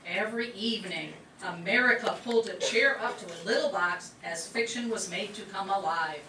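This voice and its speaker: A woman master of ceremonies reading a scripted introduction in a clear, read-aloud way to a live audience. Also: medium pitch, moderate pace, pushed and bright. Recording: echoey room from far off with noise.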